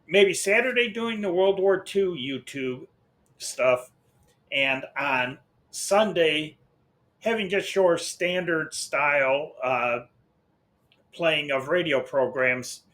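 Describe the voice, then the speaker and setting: A man's voice: fairly high pitch, pushed and bright. A middle-aged American man hosting a channel gives a casual, unscripted update, hesitant and rambling, often breaking off and repeating himself. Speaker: host of an old-time radio channel.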